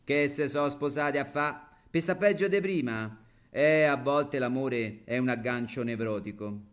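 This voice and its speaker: Man as a wry Roman woman, unhurried. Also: mid-range voice, natural voice. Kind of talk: satirical one-man stage monologue.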